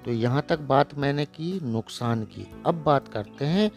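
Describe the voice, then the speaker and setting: A man's voice: medium pitch, lively intonation, a little slow. A man hosting a poultry farming channel, narrating a voice-over with blunt, lecturing and sarcastic remarks about careless buyers. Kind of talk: voice-over narration for a farm video. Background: soft background music.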